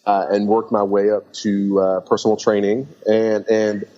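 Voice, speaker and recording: A man's voice: on the low side, flat delivery, warm tone, lo-fi sound. A man from Lebanon, raised in California, speaking as a podcast guest on fitness business, telling it with restarts and acted-out thoughts. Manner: talks in quick bursts with restarts, acts out trainers' thoughts. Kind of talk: casual podcast interview.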